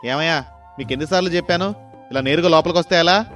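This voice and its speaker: A man's voice: a little high, fast talker, fairly bright tone, faint music behind, pitched above the performer's own voice, close to an everyday voice. A man voicing an irritable, dismissive male office peon in a story, scolding impatiently. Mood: irritated scolding. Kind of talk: storybook voice acting.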